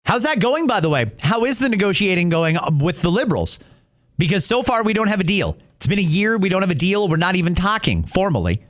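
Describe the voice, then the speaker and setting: Indignant high-voiced male political host. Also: a bit bright. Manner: indignant, rhetorical questions. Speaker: talk show host. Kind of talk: political commentary.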